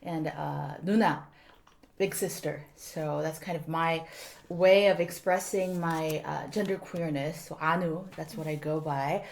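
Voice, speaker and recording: A woman's voice: low voice, a little slow, gravelly. A woman in her thirties from South Korea, a native Korean speaker, chatting reflectively as a podcast guest. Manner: reflective, animated, sprinkles in Korean words. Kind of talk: casual podcast chat.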